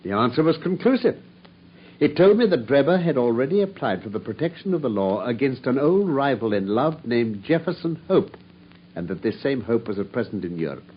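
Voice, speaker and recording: An actor playing a coolly brilliant detective, explaining his deductions in a precise, measured way. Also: mid-range voice, big pitch swings, breathy edge. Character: coolly brilliant, self-assured male detective. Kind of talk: 1950s radio drama acting. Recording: dull lo-fi old radio broadcast.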